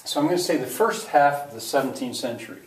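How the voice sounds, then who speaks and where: An older man teaches a history class, stopping and restarting with lots of ums and uhs as he works through his notes. His voice comes from a distance in a roomy space with a clear echo. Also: medium pitch. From United States. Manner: halting, full of fillers and restarts. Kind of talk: lecture to a class. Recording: echoey room, voice from far off.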